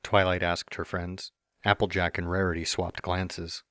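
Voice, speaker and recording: A man's voice: deep voice, flat delivery, measured pace, a bit bright, no room sound, clean studio sound. A man reading a fan story aloud as narrator, with playful, smug first-person asides.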